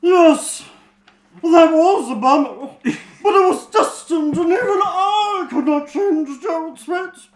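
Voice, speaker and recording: A woman voicing a grand, flighty, ageless sorceress teacher with a dramatic, drawn-out delivery. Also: very high-pitched, pitched above the performer's own voice. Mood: grand, theatrical. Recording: roomy, echoey home recording.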